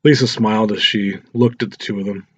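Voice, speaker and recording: A man's voice: lowish voice, steady tone, fairly quick, slightly rough. A man narrating a scripted story as an audiobook reader. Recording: roomy echo, dull lo-fi sound.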